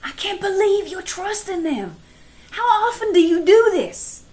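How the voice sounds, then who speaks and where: A woman playing a hot-headed, distrustful frontier recruit in an audio drama, forceful and argumentative. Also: very high voice, very animated, unhurried, exaggerated voice. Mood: outraged.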